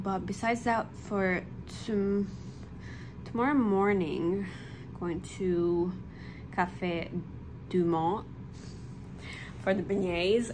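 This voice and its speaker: A woman in her thirties chatting casually and haltingly to a handheld camera in a hotel room, as a travel vlogger. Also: medium pitch, low-quality recording. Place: small indoor hotel room. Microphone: phone or camera at arm's length. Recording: noticeable background noise.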